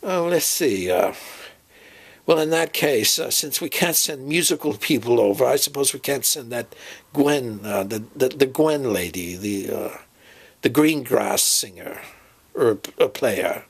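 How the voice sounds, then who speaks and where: Comedy sketch professor, performed by a man: doddering and forgetful, gravelly, with pitch that swings about as he fumbles and repeats himself. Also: animated. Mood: muddled, deliberating. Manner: halting, muddled, fussy, repeats himself. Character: doddering, fussy, forgetful male professor planning an expedition. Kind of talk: improvised comic dialogue sketch.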